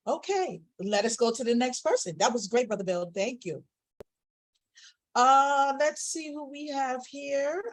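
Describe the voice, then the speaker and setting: High-pitched woman, encouraging online poetry host, dry close video-call sound. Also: dry recording. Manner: warm, encouraging, polite. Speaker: event host. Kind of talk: hosting an online poetry reading.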